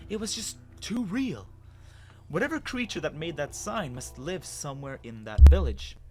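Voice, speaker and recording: Expressive male story narrator with lively pitch. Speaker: narrator of a dramatic reading. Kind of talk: dramatic first-person story narration.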